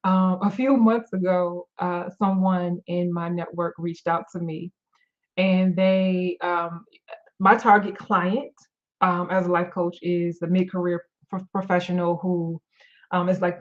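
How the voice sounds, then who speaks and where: A woman's voice: lowish voice, little pitch movement, a little slow, muffled recording. A middle-aged woman life coach on a live stream, tired and losing her voice, talking candidly with many fillers and restarts. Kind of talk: live-stream motivational talk.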